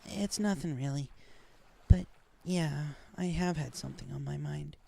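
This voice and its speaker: A man's voice: fairly high pitch, measured pace, vocal fry, warm tone, voice pushed up, full character voice. A man voicing a flustered, lovestruck young female pegasus pony who speaks earnestly and hesitantly in a story reading. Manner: hesitant, stammering. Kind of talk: fan fiction story reading.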